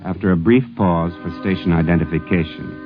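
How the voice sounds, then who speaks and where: A man narrating formally and in a measured way as host of a scripted 1950s radio program. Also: deep voice, music in the background. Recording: dull vintage radio recording.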